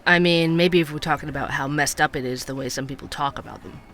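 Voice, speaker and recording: A man's voice: on the high side, fairly even intonation, fast, a bit bright, a little hiss or hum. An actor playing a curious, chatty male podcast host, conversational and wry in an explanatory way, in a scripted audio drama. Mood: wry, candid. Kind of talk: scripted fiction podcast narration and interviews.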